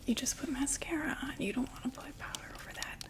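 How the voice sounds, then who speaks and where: A chatty undead vampire saleswoman, played by a young woman, breathes her pitch in a close, hushed whisper. Her voice sits a little high, and she keeps an easygoing sales patter full of little fillers. The whole thing is an ASMR roleplay. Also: fast talker, natural voice. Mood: soothing.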